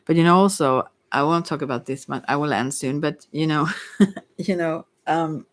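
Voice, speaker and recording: A tarot reader who speaks English as a second language, rambling through an unscripted ASMR reading in halting phrases that often trail off. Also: mid-range voice. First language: not English.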